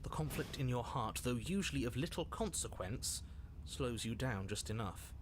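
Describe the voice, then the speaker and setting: A man voicing a calm, ominous narrator in a horror game, measured and softly breathy at times. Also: medium pitch, slight background noise, natural voice. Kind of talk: scripted second-person horror narration.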